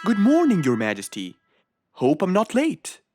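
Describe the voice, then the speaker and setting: Woman acting a clever male cartoon fox, low-leaning pitch, hugely swooping delivery, courteous, music behind. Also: measured pace. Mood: polite, assured. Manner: courteous, expressive, musing. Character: polite, clever, eager male fox inventor. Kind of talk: children's cartoon dialogue.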